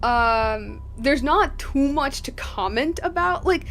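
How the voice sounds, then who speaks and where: A woman's voice: sits high, animated, takes it slowly, fairly bright tone, a little hiss or hum. A woman giving casual reaction commentary as she plays a game.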